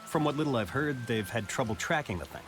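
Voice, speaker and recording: A man's voice: mid-range voice, lively intonation, fast, background noise, natural voice. A man playing an affable, helpful fantasy town apothecary in a video game, speaking conversationally. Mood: grave.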